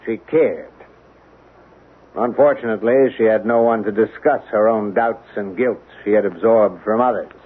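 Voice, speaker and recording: A male actor playing an earnest, protective middle-aged colonel in a 1970s radio drama, speaking in a measured, emphatic way with pointed rhetorical questions. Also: lowish voice, breathy edge. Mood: grave, explaining. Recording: tinny, band-limited 1970s radio recording.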